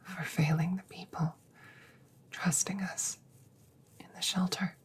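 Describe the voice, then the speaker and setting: Woman voicing female android sharpshooter, hushed whispering, extremely breathy, measured, formal, protective, remorseful, soothing roleplay audio. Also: on the low side, steady tone, exaggerated voice. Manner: slow, measured, formal, reassuring phrasing. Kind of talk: scripted roleplay audio drama for relaxation.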